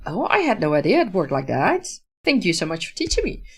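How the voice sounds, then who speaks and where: A woman's voice: fairly low pitch, huge pitch swings, brisk, slightly rough. A Dutch woman with a Dutch accent, casually hosting a livestream, answering viewer comments in a thoughtful way, with many fillers and restarts. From Netherlands. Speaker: livestream host, author.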